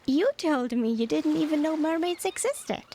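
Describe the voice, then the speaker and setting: A woman playing a tender, nostalgic former mermaid and devoted wife in a soft, unhurried, half-whispered ASMR roleplay. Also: high voice, expressive, a little hiss or hum. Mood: playful, affectionate. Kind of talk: ASMR romantic roleplay, softly spoken.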